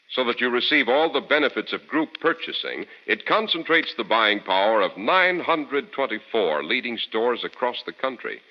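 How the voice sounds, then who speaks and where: A male American announcer from the golden age of radio delivers scripted copy with polish and emphasis. His medium-pitched voice moves up and down a great deal. The broadcast sounds dull, with long reverb. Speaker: radio announcer and sponsor's spokesman.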